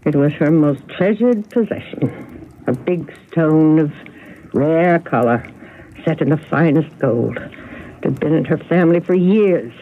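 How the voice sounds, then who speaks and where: An actress playing a genteel, agitated older spinster telling family secrets in a radio mystery drama. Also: female voice, deep voice, unhurried. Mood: anxious, bitter. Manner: fretful, genteel, dramatic storytelling. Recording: narrow, noisy old radio broadcast recording.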